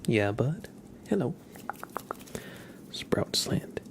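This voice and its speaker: A man hosting an ASMR livestream, chatting gently and casually in a soft, breathy voice with frequent pauses. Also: medium pitch, big pitch swings. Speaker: livestream host.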